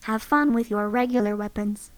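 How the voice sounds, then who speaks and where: A woman voicing a calm, curious female robot companion with a clipped, even delivery. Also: on the high side, normal speed, no room sound, faint music behind, lightly acted.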